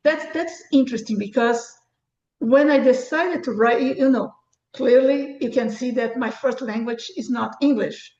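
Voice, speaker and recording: A woman's voice: fairly high pitch, normal speed, darkish tone. A middle-aged woman from Brazil, a native Portuguese speaker with a Brazilian accent, chatting enthusiastically as a guest author. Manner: enthusiastic, frequent restarts and laughs. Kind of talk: casual interview chat. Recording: echoey, dull lo-fi sound.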